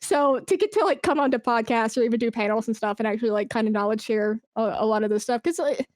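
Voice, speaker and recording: A woman with a fairly high voice, recorded close and dry, speaks as a guest in a conversational interview. She gives career advice informally, with encouragement and plenty of emphasis. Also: fast talker, darkish tone, no echo. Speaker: podcast guest, esports brand and community manager.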